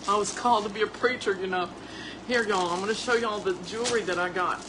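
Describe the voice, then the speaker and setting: A woman, hoarse, hosting a casual livestream and talking to listeners in a chatty, folksy way like they are family. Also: medium pitch, fast talker, background noise. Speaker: host.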